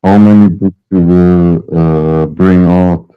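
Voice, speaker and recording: Slow, deep-voiced male caller on a tinny line. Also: fairly even intonation, clear, resonant tone. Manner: hesitant, halting. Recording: tinny phone line with faint music.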